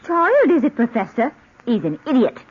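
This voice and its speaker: An actress playing a blunt young chambermaid with rough grammar, in 1940s radio acting style. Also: female voice, high-pitched, huge pitch swings, normal speed, full character voice. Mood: indignant. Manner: plain-spoken, uses rough grammar. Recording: dull old radio recording.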